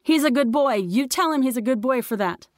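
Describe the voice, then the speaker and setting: A woman narrator performs a besieged mother in an audiobook story. Her voice is lifted high and insistent, calling out with protective authority. The sound is very dry, with little room around it. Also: expressive, brisk, no echo. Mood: shouted reassurance. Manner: raised, insistent, ordering. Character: besieged mother, urgent, commanding, protective, shouting up to her children. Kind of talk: audiobook character dialogue.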